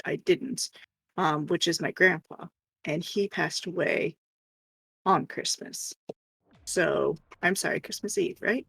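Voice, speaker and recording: Male co-streamer, high-pitched with gravelly fry, halting and candid, soft music underneath. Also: no room sound. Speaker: livestream co-host. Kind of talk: casual personal storytelling.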